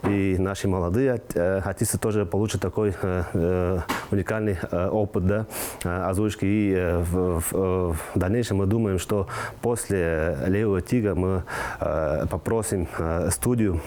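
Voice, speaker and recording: A man from Tuva, a native Tuvan speaker, giving an unscripted interview haltingly, with constant hesitation sounds as he searches for words. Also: deep voice, fairly even intonation, mellow, a little hiss or hum. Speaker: project organizer being interviewed.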